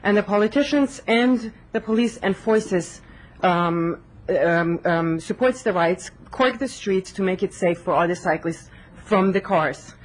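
A woman's voice: mid-range voice, a little slow, poor audio. Young woman from Hungary, direct delivery, podium mic, council chamber. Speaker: public commenter. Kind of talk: public comment at council meeting. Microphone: podium microphone.

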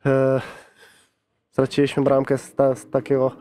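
A man's voice: medium pitch, monotone, moderate pace, dark tone. A man in his thirties, a footballer giving a post-match interview into a handheld mic outdoors, talking with many fillers and restarts. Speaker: football player. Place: pitchside interview backdrop at a stadium, outdoors. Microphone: handheld reporter's mic.

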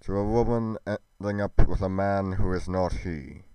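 Righteous male god for game roleplay, man acting, grave, declamatory, smooth low voice. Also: warm tone, dry recording, natural voice. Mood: concerned. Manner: solemn, declamatory, drawn-out. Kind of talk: amateur scripted roleplay.

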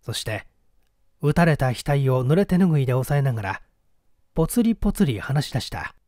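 Mellow, expressive male story narrator. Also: medium pitch, fairly quick, no room sound, studio quality. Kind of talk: dramatic scripted story narration.

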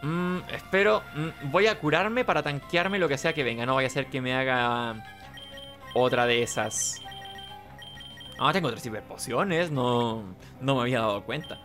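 A man's voice: mid-range voice, a little slow, sharp tone, faint music behind. A man giving casual, rambling let's play commentary, talking to himself. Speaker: gaming host.

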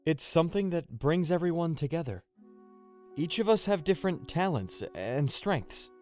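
A man playing a gentle, conciliatory merman prince in an audio drama. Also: a little high, lively intonation, moderate pace, soft background music, close to an everyday voice.

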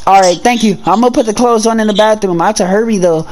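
A performer playing an excitable teenage demon boy on the run, talking in casual slang in an improvised video-game roleplay. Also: mid-range voice, fast, close to an everyday voice.

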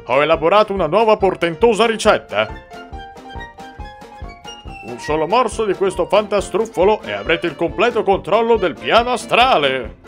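A man's voice: high-pitched, expressive, moderate pace, a bit bright. Man playing a pompous scheming cartoon ghost chef. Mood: jovial, grand. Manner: florid, pompous wording. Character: grandiose, scheming ghostly male chef, genial turned menacing. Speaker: villain in a fan dub. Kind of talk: theatrical cartoon dubbing. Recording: music under the voice.

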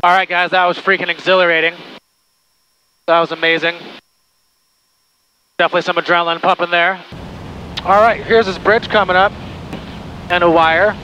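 A young man pilot talking through his approach and landing into a headset microphone in a small plane cockpit, excited and step by step. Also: high voice, moderate pace, long pauses, lo-fi sound. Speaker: pilot vlogger. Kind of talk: in-flight commentary. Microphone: aviation headset. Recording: engine noise under headset mic.

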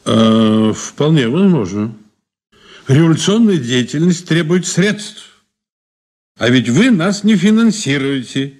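A man's voice: on the high side, expressive, slow pace, darkish tone. An actor playing a shrewd, ironic old man under questioning in a 1980s Soviet radio play, courteous and condescending. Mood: guarded, dry. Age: older. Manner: courteous, sly, condescending endearments.

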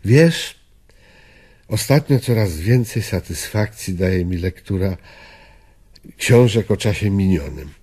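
Tired old gent in radio drama, lowish voice, slow, earnest, kinda disillusioned. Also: male voice, expressive, dark tone, breathy edge, heavily acted. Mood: weary, reflective. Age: older. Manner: measured, earnest, world-weary. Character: reflective, disillusioned old gentleman looking back.

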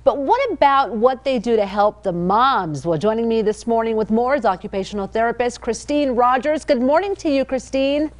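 Bubbly morning show hostess. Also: female voice, on the high side, moderate pace, few pauses. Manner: bubbly, emphatic presenter delivery. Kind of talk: sponsored TV segment interview. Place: TV studio.

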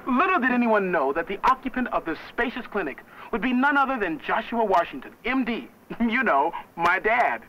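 A man's voice: sits high, sing-song, moderate pace, pushed and bright, highs cut off, a little hiss or hum, heavily acted. A young American man playing a cheerful college student who narrates a 1970s educational film in a folksy, chatty way. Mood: explanatory. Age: young adult. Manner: folksy, chatty, addressing the viewer. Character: cheerful young college student guiding viewers round his street. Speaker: on-camera narrator.

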